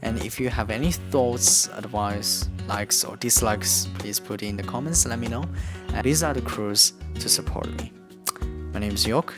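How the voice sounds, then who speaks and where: A man's voice: fairly low pitch, moderate pace. A man whose first language is Korean, from near the China–North Korea border, giving earnest, plain English-learning tips to camera. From China–North Korea border region. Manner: earnest, plain, non-native phrasing with restarts. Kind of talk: instructional talk to camera. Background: guitar music.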